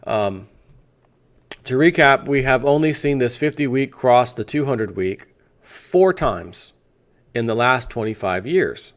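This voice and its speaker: A man hosting a financial news video, reading an article aloud in a conversational way and breaking off for off-the-cuff asides. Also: mid-range voice. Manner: conversational, breaks off to add asides and rhetorical questions.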